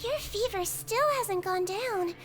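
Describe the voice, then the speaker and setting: Super high-pitched little nurse creature, soft and motherly, teasing a bit, faint game music behind. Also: takes it slowly. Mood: gentle. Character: small gentle female melusine nurse, kindly and teasing. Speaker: prison nurse.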